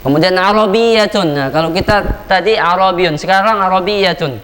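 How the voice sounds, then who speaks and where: A man's voice: sits high, normal speed, a bit bright. A man with an Indonesian accent teaching an Arabic grammar lesson, quizzing the class on words and tagging his sentences with "ya". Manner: drills vocabulary, asks the class questions, many "ya" tags. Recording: long hall reverb, faint mains hum.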